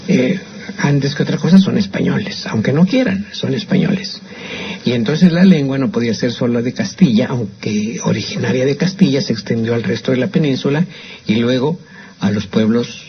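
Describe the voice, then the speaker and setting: A man's voice: medium pitch, a little hiss or hum. An older man with a Mexican accent, chatting opinionatedly as a radio host about language and restarting his phrases often. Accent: Mexican Spanish. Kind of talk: casual radio commentary. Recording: slightly muffled radio broadcast.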